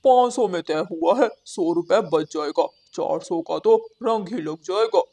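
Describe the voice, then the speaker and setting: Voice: medium pitch, measured pace, warm tone. An actor voicing a hardworking, polite male crow house painter in a children's cartoon, earnest and reassuring. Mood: plain, chatty. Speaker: cartoon character voice. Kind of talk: Hindi children's cartoon dialogue.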